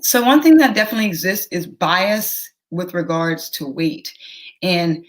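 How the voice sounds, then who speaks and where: A woman's voice: lowish voice, animated. A young woman physician giving careful, educational answers as an interview guest. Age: young adult. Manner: measured, educational, careful explaining.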